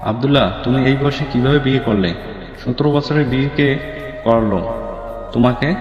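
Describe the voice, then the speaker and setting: Casting: stern middle-aged uncle, played by a man, medium pitch, dull reverberant sound over music. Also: moderate pace, close to an everyday voice. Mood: disapproving. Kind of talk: audio story drama. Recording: dull echoey recording, music underneath.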